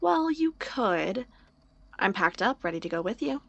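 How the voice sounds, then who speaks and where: A woman's voice: mid-range voice, very animated, measured pace, gravelly, muffled recording, exaggerated voice. A woman playing a teasing, stubborn, protective small-town young woman in audio drama dialogue, with a dry manner.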